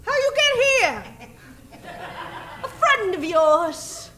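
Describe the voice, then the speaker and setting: Very high-pitched coy octopus alien. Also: female voice, very animated, drawn-out words, full character voice. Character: female octopus alien disguised as Broadway dancer, coy and theatrical. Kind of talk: old-time radio serial acting before a live audience. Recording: roomy stage recording with loud noise.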